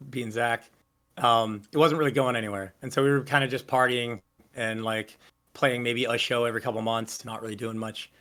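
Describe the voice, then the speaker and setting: A low-voiced man tells stories in a loose, rambling way, stacking up fillers and restarting his sentences. His tone is bright and forward, and he swears easily. He is talking over a video call. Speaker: singer interviewee. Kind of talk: casual interview storytelling.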